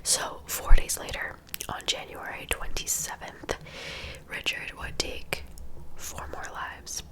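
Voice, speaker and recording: A woman narrating true-crime ASMR in a soft, breathy whisper, with an informal, conversational manner. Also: takes it slowly.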